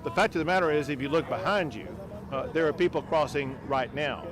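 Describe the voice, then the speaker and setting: A man speaking as a governor at a press conference, emphatic and forceful, outdoors by a river. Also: on the high side, fairly quick, crowd noise. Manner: forceful, emphatic political speech. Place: outdoors by a river border crossing.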